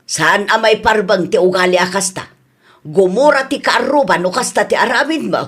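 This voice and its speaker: A mid-pitched older Ilocano mother, played by a woman, scolding and reproachful. She admonishes in a motherly way, as in a radio comedy drama. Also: normal speed, a bit bright, breathy edge. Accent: Ilocano Filipino.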